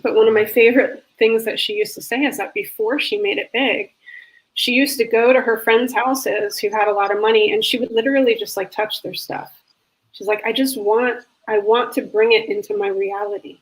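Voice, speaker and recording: A woman hosts a relaxed video-call interview, her voice sitting a little high. She talks in an upbeat, encouraging way. Her sentences run on with ums and you-knows. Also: normal speed, breathy edge, rough recording. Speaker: host.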